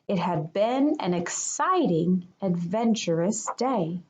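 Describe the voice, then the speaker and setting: A woman, a kindergarten teacher, reading a children's picture book aloud in an expressive manner for young listeners. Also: fairly low pitch, takes it slowly, poor audio.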